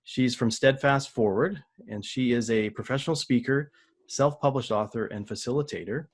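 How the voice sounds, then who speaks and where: Guy hosting a podcast, middle voice, friendly, kinda halting. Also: mid-range voice, breathy edge. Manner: friendly, halting, repeats words often. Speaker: podcast host. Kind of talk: podcast interview.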